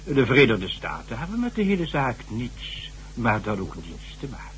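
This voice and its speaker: Calculating government official, authoritative, bright ringing tone, animated pitch, radio play. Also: male voice, moderate pace, slight background noise, low-quality recording. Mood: scheming. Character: shrewd high-ranking male government official. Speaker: statesman addressed as excellency. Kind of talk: 1970s radio drama acting.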